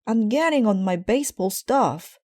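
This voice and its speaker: A young boy bear cub from a children's storybook, acted by a woman. He yells eagerly and pushily in a raised, high voice. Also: lively intonation, moderate pace, no echo, clean studio sound, close to an everyday voice. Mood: busy, yelling. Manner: yelling.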